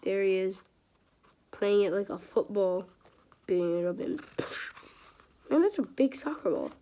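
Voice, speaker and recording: A woman's voice: mid-range voice, expressive, a little slow, dark tone, lo-fi sound. A woman reading a children's book aloud in a casual, stumbling way, with chatty, informal asides. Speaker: amateur reader of a children's book. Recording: clearly noisy home recording with clicks.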